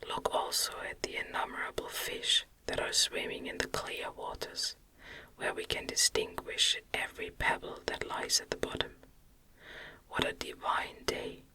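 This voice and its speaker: A narrator voicing a gentle young wife in a tender, soothing, breathy whisper for an audiobook. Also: measured pace. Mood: tender, hopeful. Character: Elizabeth, gentle young wife soothing her anxious husband.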